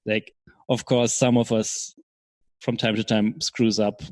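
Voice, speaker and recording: A man answering as an interview guest in casual chat, explaining things in a measured, hesitant way. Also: on the low side, level tone, a little mellow, no echo. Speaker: podcast guest, software startup co-founder.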